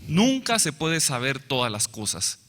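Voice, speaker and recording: Intérprete masculino, ágil y fluido, voz brillante, sala con eco. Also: male voice, medium pitch, huge pitch swings, fairly bright tone. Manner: brisk, fluent, follows the speaker phrase by phrase. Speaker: interpreter. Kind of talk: consecutive interpretation of a lecture. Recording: reverberant hall.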